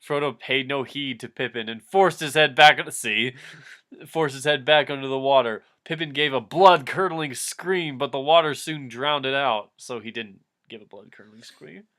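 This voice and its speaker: Bright-voiced man doing a mock-dramatic read-aloud. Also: mid-range voice, moderate pace. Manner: breaks into giggles, mock-dramatic, self-interrupting. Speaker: reader of a fan fiction dramatic reading. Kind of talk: comedic read-aloud with casual asides.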